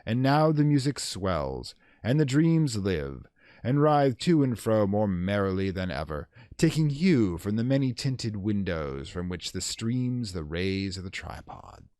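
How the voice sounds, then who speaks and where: A man narrating a gothic horror tale in a solemn, theatrical way, with measured phrasing and dramatic pauses. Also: lowish voice, very animated, a little mellow, dry recording, clean studio sound.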